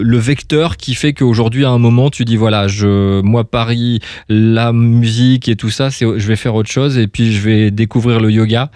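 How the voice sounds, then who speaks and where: A man's voice: fairly low pitch, darkish tone, no room sound. Rambling male radio interviewer, very dry sound. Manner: rambling, repeats and rephrases himself. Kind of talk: casual radio interview chat.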